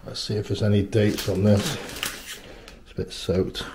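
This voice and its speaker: A man's voice: on the low side, little pitch movement, normal speed. A man in his thirties walking through an abandoned school with a handheld camera, chatting casually to viewers and reacting with awe. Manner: casual, addresses viewers as guys, awed exclamations. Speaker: urban explorer vlogger. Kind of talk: handheld exploration commentary. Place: abandoned school corridors, indoors. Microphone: handheld camera mic. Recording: echoey empty rooms with faint hiss.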